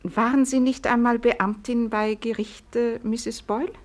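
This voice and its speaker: A woman's voice: a little high, fairly even intonation, measured pace, a little mellow, lightly acted. An actress playing an anxious, earnest young landlady of a guesthouse in a 1950s radio play. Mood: indignant.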